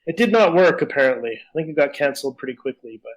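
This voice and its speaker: A man's voice: medium pitch, expressive, fast talker, poor audio. A man co-hosting a podcast in casual chat, wandering and hesitant, with a self-deprecating manner. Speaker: podcast co-host.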